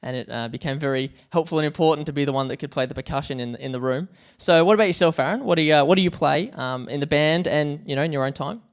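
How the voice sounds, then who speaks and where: An Australian man hosts a casual interview in a clear, smooth voice that sits a little high. He phrases things informally, feeling his way into each question. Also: fast, hardly any pauses. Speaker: podcast host.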